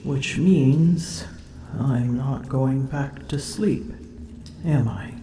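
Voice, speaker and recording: A woman's voice: rumbling low, extremely slow, dark tone, slight background noise, exaggerated voice. A woman voicing a haughty, drowsy and grumpy female dragon in an ASMR roleplay, speaking in formal old-fashioned phrasing and often whispering. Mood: haughty, amused. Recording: roomy echo.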